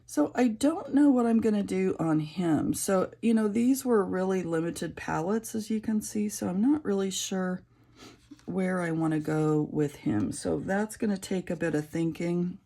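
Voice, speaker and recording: A middle-aged woman with a raspy voice, chatting casually and rambling with many fillers while she colors. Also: fairly low pitch, measured pace, dark tone. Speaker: coloring channel creator.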